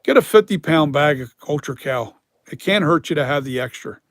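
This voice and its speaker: A man with a dry, hoarse voice, talking casually on a live stream about mask making, rambling and correcting himself as he explains. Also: fairly high pitch, expressive, moderate pace, a bit bright, no room sound.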